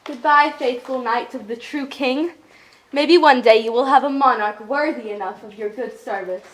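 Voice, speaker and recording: A woman playing a gracious young princess, devoted and gently teasing, in a staged reading of a stage musical. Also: high-pitched, moderate pace, lightly acted, poor audio.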